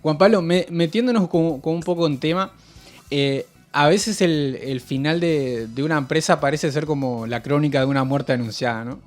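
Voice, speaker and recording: A man hosting a podcast interview, friendly and quick to laugh. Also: fairly high pitch, soft background music. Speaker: podcast host.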